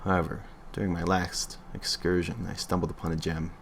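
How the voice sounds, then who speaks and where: A man's voice: deep voice, little pitch movement, brisk, vocal fry, a little hiss or hum. A man reading a creepy internet story aloud on a livestream in an offhand way, stumbling and correcting himself as he goes.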